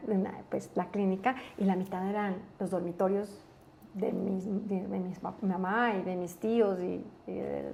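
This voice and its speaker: A woman with an Ecuadorian accent telling family stories as an interview guest, earnest and animated, often restarting her sentences. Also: medium pitch, vocal fry, slight background noise. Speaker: guest, business executive. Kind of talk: casual interview storytelling.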